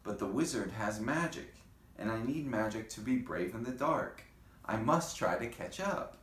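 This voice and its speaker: A man voicing a small timid creature who is afraid of the dark, speaking in an earnest, nervous way. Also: fairly low pitch, lively intonation, normal speed, reverberant. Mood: worried.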